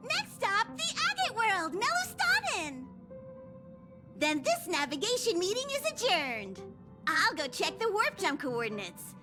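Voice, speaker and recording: A woman's voice: very high-pitched, full character voice. A woman voicing a small, cute mascot train conductor in a video game, earnest and formal, as if announcing. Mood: brisk, announcing. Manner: formal, presentational. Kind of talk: scripted game dialogue, announcing.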